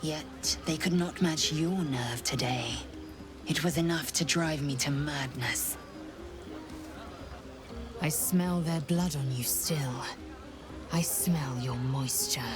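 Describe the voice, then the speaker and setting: A fierce warrior woman speaks in a deep register with music behind her. She is an imperious, blunt video game figure, formal in wording and predatory in intent. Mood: hungry, intense. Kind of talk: video game character dialogue.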